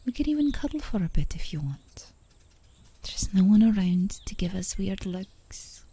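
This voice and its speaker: A woman playing an affectionate, teasing girlfriend in an intimate ASMR roleplay, speaking softly and soothingly, often in a whisper, close to the microphone. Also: mid-range voice, big pitch swings, normal speed. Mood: soothing, encouraging. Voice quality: soft, breathy, often whispering. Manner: soothing, playfully coaxing, with small chuckles. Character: gently coaxing, teasing, affectionate girlfriend on a park date.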